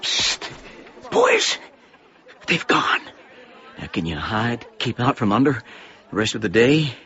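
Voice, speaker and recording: A man's voice: medium pitch, huge pitch swings, slow, sharp tone, exaggerated voice. An actor playing an anxious, protective middle-aged librarian father in a radio drama, earnest and often hesitant. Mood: urgent, conspiratorial. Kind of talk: radio drama acting and first-person narration. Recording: muffled radio broadcast with faint music.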